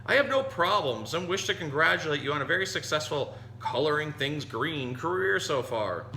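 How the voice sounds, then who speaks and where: A man's voice: on the high side, animated, normal speed, bright, forceful tone. A man voicing a contented, polite green crayon from a picture book, earnest and congratulatory as a peacemaker. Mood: cheerful, earnest. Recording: roomy echo, some noise.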